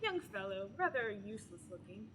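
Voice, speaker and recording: A woman's voice: mid-range voice, sing-song, a little fast, close to an everyday voice. A young woman acting a wary townswoman in a radio play, polite but curt and dismissive. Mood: guarded. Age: teenager. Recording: noisy background.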